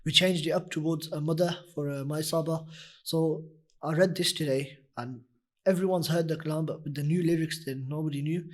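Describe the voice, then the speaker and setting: A young man with a husky, deep voice, a nasheed reciter talking earnestly in a casual interview, full of "you know" fillers. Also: fairly even intonation, a little fast. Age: young adult. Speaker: nasheed artist guest.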